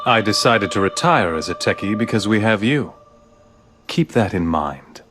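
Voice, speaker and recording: An actor playing a stern, dignified branch director in a video game cutscene, formal, measured and commanding. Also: male voice, fairly low pitch, animated, noisy background, natural voice.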